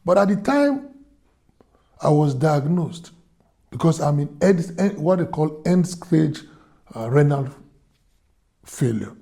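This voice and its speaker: A man from Nigeria telling his own story in a slow, halting, earnest way, with music under his voice. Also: a little high, very animated, creaky, dark tone. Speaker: fundraiser subject. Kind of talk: personal testimony.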